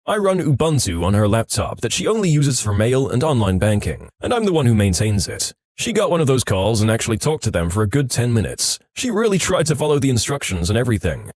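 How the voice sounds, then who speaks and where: A man reading online stories as a scripted podcast narration, with an animated storytelling delivery, close on the microphone. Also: mid-range voice, no echo.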